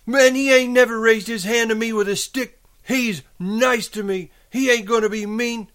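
A man's voice: high-pitched, fairly even intonation, normal speed, pitched above the performer's own voice, natural voice. A man voicing a simple, childlike, easily upset man from a novel, plaintive in rural American dialect. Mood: defiant.

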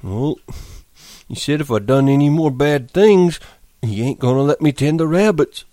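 A man's voice: a little high, lively intonation, moderate pace, mellow, no room sound, studio quality, pitched above the performer's own voice, lightly acted. A man doing a simple-minded giant of a farmhand, plaintive. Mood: miserable. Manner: drawling, plaintive, simple speech. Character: big slow-witted childlike ranch hand, man.

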